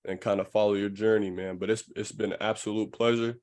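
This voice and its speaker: Casting: male podcast host, slightly deep voice, casual and reflective, loose slangy phrasing. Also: level tone, quick pace, a little mellow. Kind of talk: casual chat.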